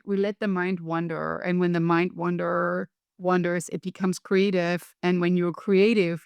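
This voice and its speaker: A woman's voice: lowish voice, creaky, a little mellow, smooth, no echo. A middle-aged woman with a European accent, a coach answering interview questions in an upbeat, emphatic way and stretching her key words. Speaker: guest, coach and author.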